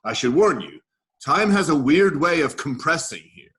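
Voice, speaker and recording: Man playing a cocky, protective soldier action figure, animated pitch. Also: moderate pace. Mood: protective, reassuring. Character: macho, protective male G.I. Joe soldier doll come alive. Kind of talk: staged play reading.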